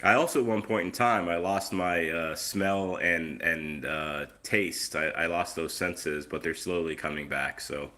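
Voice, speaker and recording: A man's voice: deep voice, a little slow, few pauses, pushed and bright. A man hosting a casual live stream on his phone, chatty and easygoing, with a lingering cough that leaves his voice a little off. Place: outdoors, then indoors at home.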